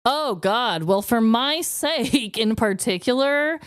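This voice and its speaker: A woman's voice: a little high, lively intonation, slow pace, smooth, dry recording, studio quality. A young woman co-hosting a comedy podcast, talking in a playful, exaggerating way. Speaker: podcast co-host. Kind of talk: casual comedic banter and dramatized true-crime storytelling.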